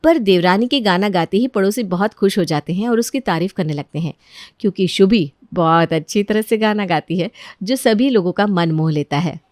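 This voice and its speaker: A woman's voice: mid-range voice, expressive, moderate pace, a little mellow, no room sound, clean studio sound. A woman narrating a scripted story as a storyteller.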